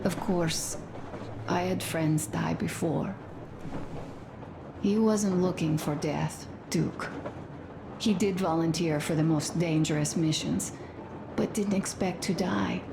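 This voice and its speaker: An actress playing a tender young soldier's wife in a video game, confiding gently and sorrowfully. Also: female voice, fairly low pitch, takes it slowly, creaky, pauses often. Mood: grieving. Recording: echoey room, noisy ambience.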